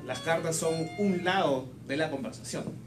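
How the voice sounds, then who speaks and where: A man's voice: fairly high pitch, moderate pace, over music. A man interpreting a sermon live phrase by phrase, a little hesitant and rephrasing as he goes. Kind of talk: consecutive live interpretation of preaching. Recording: echoey room with clear background noise.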